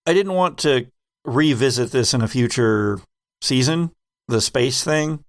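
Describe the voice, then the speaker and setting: A man with a medium-pitched voice speaks in a dry, close space. He talks casually and reflectively, as a game master looking back with his group. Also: lively intonation, a little slow, gravelly, no room sound.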